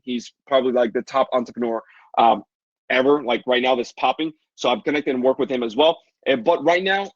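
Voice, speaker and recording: A man's voice: mid-range voice, no echo. A young man with a Dominican Spanish accent, a native Spanish speaker, coaching a live webinar in a pushy, hyped sales patter full of fillers. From Dominican Republic. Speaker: online marketing coach. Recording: dull lo-fi dry sound.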